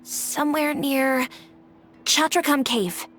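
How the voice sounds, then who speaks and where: A woman's voice: high-pitched, fairly even intonation, slow, a bit bright. A woman voicing a hesitant, obliging young townswoman in a video game.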